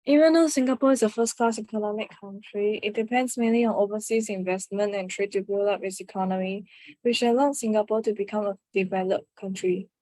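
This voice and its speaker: A female student reading a prepared answer aloud, steady and even. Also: medium pitch, fairly quick, no room sound, rough recording.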